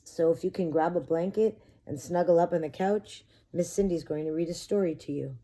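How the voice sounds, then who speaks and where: A woman's voice: on the low side, fairly quick, dark tone. A woman preschool teacher reading a picture book aloud expressively and talking directly to young children. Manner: addresses children directly with simple questions.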